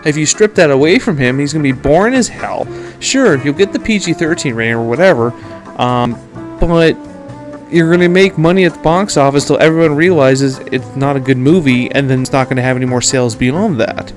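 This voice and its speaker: A man's voice: on the high side, fairly quick, soft background music. A blunt, emphatic man with a Canadian accent giving opinionated film commentary as a podcast host, sometimes swearing.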